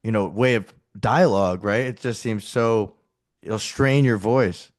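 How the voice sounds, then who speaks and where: A man's voice: lowish voice, measured pace. A man hosting a podcast, chatting casually with a guest. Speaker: podcast host. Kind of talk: casual interview chat.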